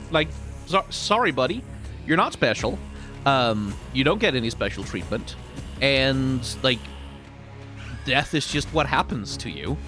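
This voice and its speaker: A man giving casual, off-the-cuff reaction commentary in an animated, colloquial, sardonic way with some swearing. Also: medium pitch, a little slow, long pauses, over music. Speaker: reaction video host, commentator.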